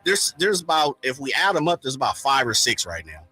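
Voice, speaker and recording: A man's voice: medium pitch, very animated, a little fast, pushed and bright, breathy edge, rough recording. A man co-hosting a sports radio show, talking in a hype, slangy, playful way. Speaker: radio co-host.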